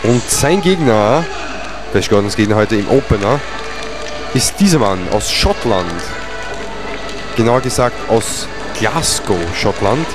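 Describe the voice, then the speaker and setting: A man's voice: on the low side, lively intonation, a little slow, long pauses, music in the background. A man doing comedic wrestling commentary, joking around and dropping in mock-French phrases.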